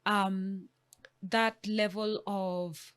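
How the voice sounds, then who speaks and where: A woman's voice: medium pitch, flat delivery, drawn-out words, clear, resonant tone, no room sound. A middle-aged woman with a Kenyan accent, a guest telling her story in a conversational interview with an earnest, motivational manner.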